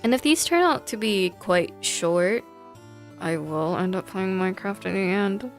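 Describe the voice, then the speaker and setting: A woman's voice: mid-range voice, expressive, measured pace, soft background music. A woman giving casual, informal livestream commentary on horror games, thinking aloud in a hesitant way. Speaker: video game livestreamer.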